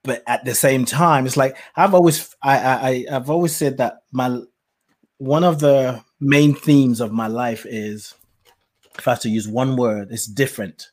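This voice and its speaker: A man's voice: mid-range voice, steady tone, measured pace, a little mellow. A man who grew up in Nigeria tells his life story as a guest, reflective and laughing often. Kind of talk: personal storytelling in conversation.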